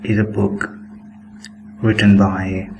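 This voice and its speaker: A man giving a critical commentary on book excerpts while showing screenshots, pacing himself deliberately and opening most sentences with "now". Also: lowish voice, level tone, creaky, muffled recording, slight background noise. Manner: critical, disapproving tone. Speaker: religious commentator.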